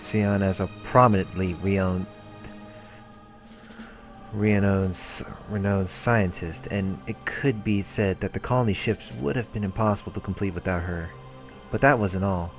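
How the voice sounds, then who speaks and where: A man hosting a let's play, reading visual novel narration aloud with casual asides and the odd stumble over words. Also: low voice. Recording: music under the voice. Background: visual novel background music.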